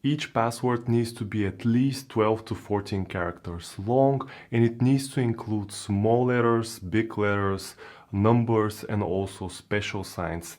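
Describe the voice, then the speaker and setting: A man's voice: fairly low pitch, darkish tone. Bulgarian-accented man giving security tips. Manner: plain instructive, with frequent uh fillers. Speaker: crypto security educator. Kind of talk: explainer video narration.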